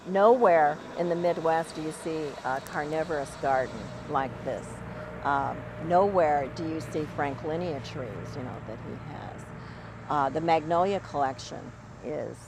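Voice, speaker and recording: A woman's voice: low voice, measured pace, background noise. A woman speaking off the cuff in an interview outdoors in a garden. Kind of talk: unscripted interview.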